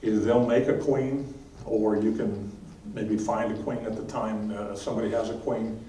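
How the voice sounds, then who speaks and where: A man's voice: lowish voice, fairly even intonation, moderate pace, dark tone. A man teaching a beekeeping class, answering questions in a plain, practical way and often checking in with "okay?". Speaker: beekeeping instructor. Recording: distant mic in echoey room with faint noise.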